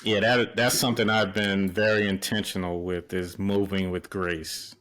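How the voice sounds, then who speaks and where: Low-voiced, relaxed male host. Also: moderate pace. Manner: relaxed, affirming, frequent short backchannels. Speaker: podcast host. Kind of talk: casual podcast interviewing.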